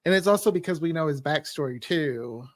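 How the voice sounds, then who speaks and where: A man's voice: on the high side, fairly quick, dry recording. A man chatting casually and enthusiastically as a writer on a panel. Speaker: audio drama writer. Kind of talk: casual group chat.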